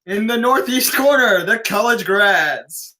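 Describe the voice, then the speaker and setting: An actor in a script table read playing a brash, showy race announcer who teases the crowd. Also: male voice, sits high, lively intonation, slow, sharp tone, full character voice, low-quality recording. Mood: showy, teasing. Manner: showy, teasing announcer delivery.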